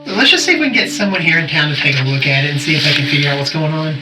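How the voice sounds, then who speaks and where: An actor playing a brisk, wry young studio sidekick with a casual manner in a naturalistic audio drama. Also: male voice, a little high, lively intonation, music in the background, lightly acted, rough recording.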